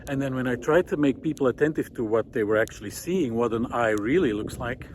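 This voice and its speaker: Thoughtful, halting man with outdoor background noise. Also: medium pitch. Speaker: host of a walking video series. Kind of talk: reflective monologue while walking. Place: outdoors on a country road in hilly farmland. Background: outdoor ambient noise.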